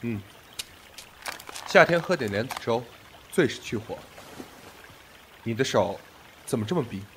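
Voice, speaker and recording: An actor playing a proud, commanding sect master in a period audio drama, with imperious, formal speech. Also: male voice, mid-range voice, animated, measured pace, long pauses, slight background noise, natural voice. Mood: gentle concern.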